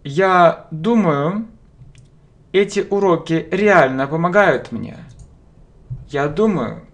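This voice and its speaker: A man teaches English at a very slow, deliberate pace, breaking each phrase into single words and repeating them. He speaks into a webcam at a home desk, and keyboard typing and mouse clicks are heard behind him. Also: on the high side, expressive. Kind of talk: slow dictation-style language lesson. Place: home room, indoors.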